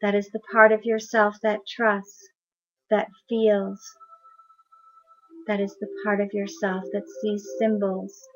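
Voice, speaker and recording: A woman leading a guided meditation in a soft, soothing, unhurried voice. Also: mid-range voice. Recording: dull lo-fi recording.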